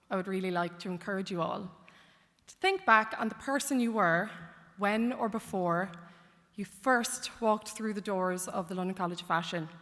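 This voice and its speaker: Girl in her 20s giving a grad speech, bright voice, big echoey hall. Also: mid-range voice. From Ireland. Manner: heartfelt, lightly joking. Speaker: graduate speaker. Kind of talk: commencement speech. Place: large concert hall. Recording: long hall reverb.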